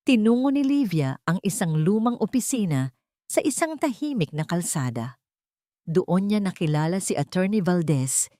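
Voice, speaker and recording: A woman with a Filipino accent narrating a dramatic scripted story in a measured, emotive way. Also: medium pitch, darkish tone, dry recording, studio quality.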